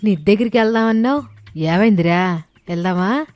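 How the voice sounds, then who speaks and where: A woman's voice: medium pitch, normal speed, a little mellow, no echo, close to an everyday voice. A woman playing a respected village woman, firm, chiding and sarcastic, in a moral story. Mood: secretive.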